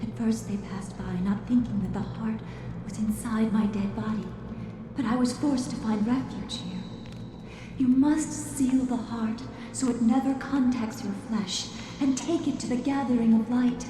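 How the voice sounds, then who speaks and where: An actress playing an ancient, solemn undead guardian spirit in a video game, speaking with portentous urgency. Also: female voice, fairly high pitch, steady tone, measured pace, background noise, natural voice. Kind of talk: video game cutscene acting. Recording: very echoey, distant, under music.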